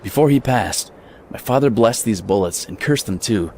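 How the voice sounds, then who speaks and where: Man as a low-voiced, grim lone gunman. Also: lively intonation, normal speed, creaky, darkish tone, a little hiss or hum. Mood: solemn, explaining. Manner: solemn, determined. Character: grim, resolute lone gunman, husband and father. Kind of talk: old-school radio drama acting.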